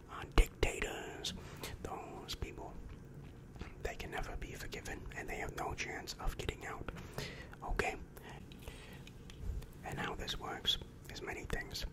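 A young man playing a calm, reassuring demon guidance counselor in an ASMR roleplay, whispering breathily and patiently explaining, close to the microphone. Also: lightly acted. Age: young adult. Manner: slow, soothing, patient explaining. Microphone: close-up microphone with foam windscreen.

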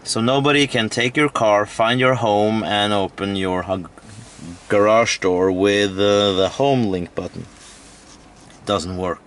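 A man's voice: on the low side, slow pace. A middle-aged Norwegian man whose first language is Norwegian, speaking English with a Norwegian accent, explaining car features in a plain, slightly wry way from inside a moving car. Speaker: car owner demonstrating features. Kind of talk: casual spoken walkthrough. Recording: loud road and car noise.

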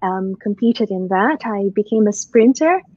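A woman's voice: medium pitch, animated, measured pace, dry recording. A woman from Singapore in her thirties introducing herself on a video call, telling stories about her sporting life with a self-deprecating touch. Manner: warm self-deprecating storytelling with light laughs. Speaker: course co-founder. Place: indoor room, video call. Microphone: laptop or webcam mic on video call. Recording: dull dry video call audio.

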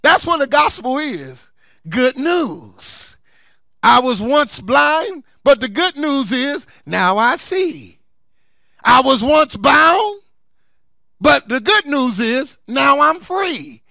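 A man's voice: extremely high pitch, sing-song, slow pace, gravelly, pushed and bright, dry recording, studio quality. A man preaching a sermon as a pastor, emphatic and folksy, repeating key phrases for stress and slipping into colloquial asides. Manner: colloquial, folksy asides between scripture points.

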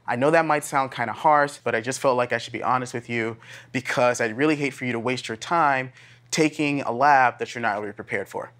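A man's voice: mid-range voice, a little fast, fairly bright tone, natural voice. An American man in his thirties playing a blunt, earnest graduate teaching assistant instructing a class in a casual, plain-spoken way. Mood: earnest, instructive. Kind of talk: classroom instruction, acted dramatization. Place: classroom lab, indoors.

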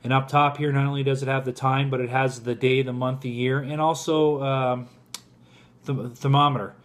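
A man in his forties with a mid-pitched voice gives a casual product review. He talks informally and directly to his viewers, as if to a group of guys. He is indoors at home, speaking toward a camera close in front of him. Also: little pitch movement, normal speed.

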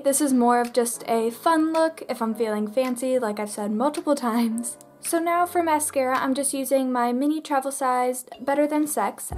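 A woman's voice: sits high, a little mellow. A teenage girl chatting through her makeup routine at her bedroom vanity, bubbly and full of "like", getting over a cold. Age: teens. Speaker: beauty vlogger. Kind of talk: makeup tutorial chat.

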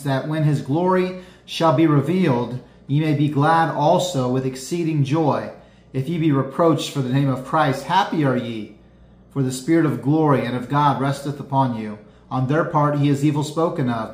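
Earnest preacher in an echoey room. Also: male voice, fairly high pitch. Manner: earnest, measured preaching delivery. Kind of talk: sermon with scripture reading. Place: small indoor room.

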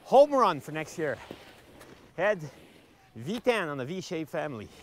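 A man's voice: a little high, sing-song, measured pace. A middle-aged man gives an enthusiastic, conversational product review to camera as a ski reviewer, stressing words emphatically. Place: outdoors on a ski slope.

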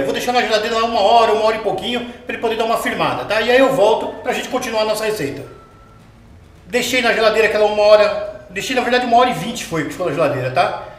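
Chatty high-voiced man cooking at home. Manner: chatty, explains patiently, often checks with the viewer. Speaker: home cooking show host. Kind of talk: step-by-step cooking demonstration. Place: home kitchen, indoors. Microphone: camera mounted overhead. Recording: echoey kitchen with light background noise.

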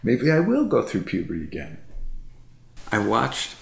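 A man's voice: fairly low pitch, wildly swooping pitch, a little slow, gravelly, roomy sound, over a noisy crowd. A man in his thirties chatting casually on a couch at home, rambling and repeating himself with lots of fillers. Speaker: vlogger. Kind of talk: casual chat. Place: living room couch at night.

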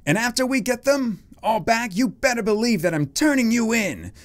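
A performer voicing a gruff, weary old male professor who scolds and lectures but can be kindly. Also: medium pitch, very animated, normal speed, pitched above the performer's own voice, natural voice. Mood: stern.

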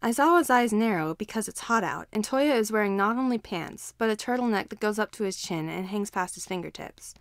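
A woman reading fan fiction aloud as an expressive scripted story narration. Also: mid-range voice, fairly quick, hardly any pauses, dry recording.

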